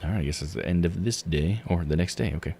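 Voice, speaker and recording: A man's voice: low-pitched, fast talker, gravelly, dark tone, a little hiss or hum. A man streaming a visual novel, reading it aloud with muttered asides and stumbles over unfamiliar names. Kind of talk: live read-aloud commentary of a game.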